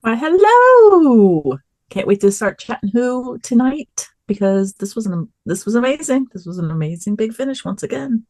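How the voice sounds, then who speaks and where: Female podcast regular, enthusiastic, highish pitch with lively swings, casual chat, dry sound. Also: moderate pace, no room sound. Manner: enthusiastic, animated.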